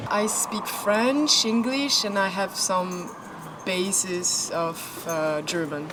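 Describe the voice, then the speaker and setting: A woman answering slowly and hesitantly in a casual street chat. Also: mid-range voice. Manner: hesitant, slow with fillers. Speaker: interviewee. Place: outdoors on a city street. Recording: street with traffic noise.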